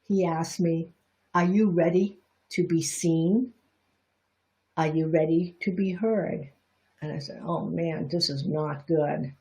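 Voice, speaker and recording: A woman's voice: sits low, steady tone, slow, dark tone, poor audio. Chinese Bostonian woman teaching reflexology. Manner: chatty, candid, self-deprecating, with restarts. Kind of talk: livestream teaching talk.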